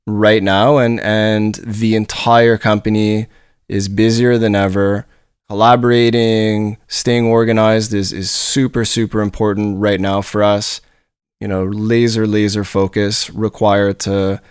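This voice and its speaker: A man's voice: on the low side, clean tone, no echo, studio quality. Nasal-voiced man hosting a solo podcast. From Montreal, Canada. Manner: upbeat, rambling, repeats words as he restarts. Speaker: podcast host and company leader. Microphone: studio podcast microphone.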